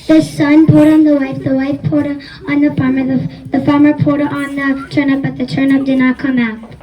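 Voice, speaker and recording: A child reading a story aloud as narrator in a school readers' theater, halting over the lines. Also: sits high, little pitch movement, warm tone. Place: school event, mic at a distance. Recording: muffled, distant microphone.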